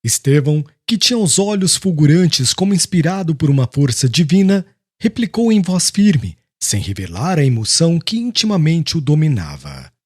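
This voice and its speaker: A man's voice: on the high side, sing-song, normal speed, few pauses, a little mellow. A man narrating a literary audiobook in a solemn, formal and dramatic style.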